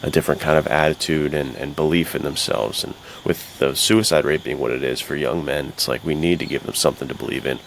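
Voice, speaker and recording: Extremely deep-voiced, earnest man. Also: steady tone, fast, gravelly, few pauses. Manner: earnest, reflective. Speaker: podcast co-host. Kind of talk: casual podcast chat. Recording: faint background noise.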